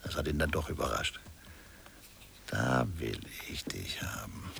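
Slow, gravelly muttering painter. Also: male voice, very deep voice, lively intonation, a bit bright, whispered, full character voice. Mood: pondering. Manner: fragmented muttering to himself. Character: absorbed, doubting painter muttering at his canvas. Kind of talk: radio play monologue.

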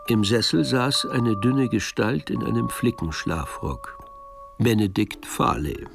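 A man's voice: medium pitch, lively intonation, a little slow, vocal fry. A man narrating a radio drama in a scripted, literary storytelling style. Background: music.

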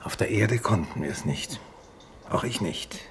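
Resigned but unbending exiled colony doctor: very low, gravelly, measured delivery, vintage radio drama. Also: male voice, animated. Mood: solemn conviction. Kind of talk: 1950s German radio play acting. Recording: faintly noisy 1950s radio recording.

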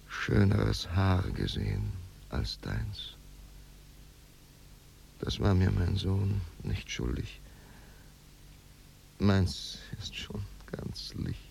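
An actor playing a wistful, brooding older man, halting and poetic, in a 1950s radio drama. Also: sits low, creaky, darkish tone, exaggerated voice. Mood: tender, wistful. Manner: halting, poetic, dropping to whispers. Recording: noisy old radio recording.

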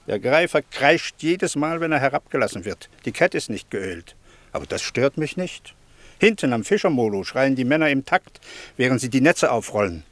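A man's voice: medium pitch, dry recording, close to an everyday voice. An actor playing an emphatic, opinionated middle-aged Greek townsman in a German radio play, speaking in eloquent, declamatory phrases. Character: opinionated Greek townsman, fond of his noisy city.

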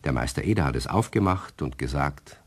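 A man narrating a German radio play as a storyteller, with a deep, husky voice and expressive scripted delivery. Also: fairly quick.

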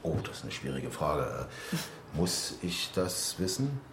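A man's voice: very deep voice, very animated, slow pace, gravelly, exaggerated voice. A man playing a courteous, slightly fumbling politician in a radio drama, polite and hesitant. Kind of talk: naturalistic radio drama acting. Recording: echoey room with background noise.

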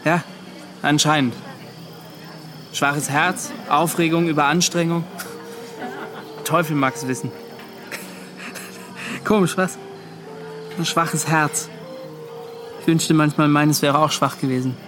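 Radio drama: bitter young seaman, higher-pitched, halting first-person confession over music. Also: fairly even intonation. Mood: bitter, brooding. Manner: halting, intense, broken short phrases. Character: haunted young seaman confessing his desertion, bitter, shaken. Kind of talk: radio play acting, first-person account.

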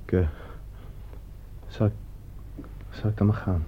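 Actor as a nervous, halting low-voiced man. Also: steady tone, natural voice. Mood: anxious, pleading. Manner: halting, stammering, unsure. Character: nervous, hesitant hired killer anxious for his money. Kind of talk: radio drama acting. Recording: dull, noisy old radio play recording.

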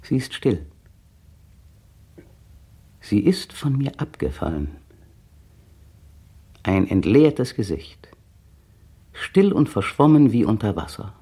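Brooding, resentful husband, precise inner monologue, slow and husky, 1960s radio drama. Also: male voice, lowish voice, big pitch swings, dark tone, exaggerated voice. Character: bitter, cold adult man resenting his partner. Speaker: male protagonist narrating inner monologue. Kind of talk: 1960s radio play acting.

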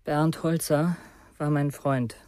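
An actor playing a troubled, distrustful young man in a German radio crime drama, speaking in halting confession. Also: fairly high pitch, fairly even intonation, a little mellow, dry recording, clean studio sound. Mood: halting, confessional.

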